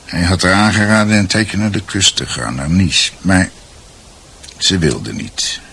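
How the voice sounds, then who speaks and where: An actor in a radio drama playing a grave man recounting family history in measured, short phrases. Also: low voice, very animated, gravelly, sharp tone, husky, heavily acted. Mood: grave, reflective. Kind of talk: radio drama acting, storytelling.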